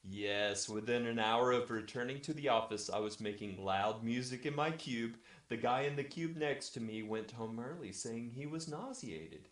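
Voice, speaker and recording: A man reads a scripted comic tale in the first person, addressing his audience like a bedtime storyteller. His voice sits at an average pitch and has a mock-formal manner. The recording is roomy and echoey. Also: normal speed, hardly any pauses. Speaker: storyteller, webcast regular.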